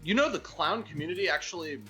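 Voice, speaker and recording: A man's voice: on the high side, animated, fast talker, bright, forceful tone, soft background music, low-quality recording. A man co-hosting a podcast in casual chat, with hesitant, roundabout phrasing. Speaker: podcast co-host.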